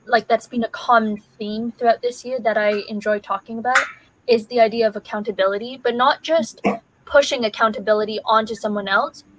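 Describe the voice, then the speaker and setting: A woman co-hosting a casual online chat, speaking in a measured, reflective way on a video call. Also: a little high, steady tone, a bit bright, a little hiss or hum, poor audio. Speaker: co-host.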